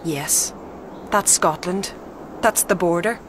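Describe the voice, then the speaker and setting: A bold young lady of the 1920s upper class in a radio drama. She is brisk and mocking, with a conspiratorial edge, over clear background noise. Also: fairly low pitch. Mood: teasing.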